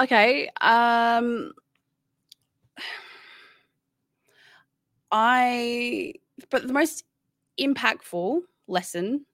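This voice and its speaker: A woman's voice: on the high side, no echo, studio quality. An Australian woman in her thirties, a guest chatting casually and candidly, rambling with many restarts. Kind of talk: casual interview chat.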